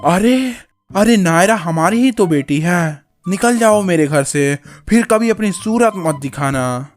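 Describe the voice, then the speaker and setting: Guy playing a village uncle, earnest, fairly high voice, talks real slow, cartoon with music behind. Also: animated. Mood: angry. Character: earnest protective middle-aged village uncle. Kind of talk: Hindi cartoon story dialogue.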